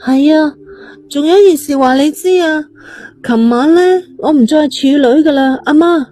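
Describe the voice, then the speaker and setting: A woman's voice: high-pitched, moderate pace, dark tone, soft background music, voice pushed up, close to an everyday voice. A woman voicing a defiant, desperate eighteen-year-old girl in an urgent, pleading, emotional way for an audio drama. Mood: provocative, sweet.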